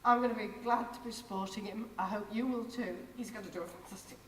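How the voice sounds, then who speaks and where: A woman giving an effusive, grateful closing speech as outgoing chair, her voice going hoarse. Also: mid-range voice, fast talker, fairly bright tone, slight background noise. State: losing her voice. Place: large conference hall. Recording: distant mic in a reverberant hall.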